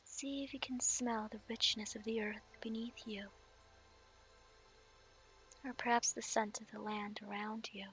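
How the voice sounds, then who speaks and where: A woman guiding a meditation in a soft, slow, half-whispered voice. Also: a little high, flat delivery, a little hiss or hum, low-quality recording. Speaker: meditation guide. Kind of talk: guided meditation.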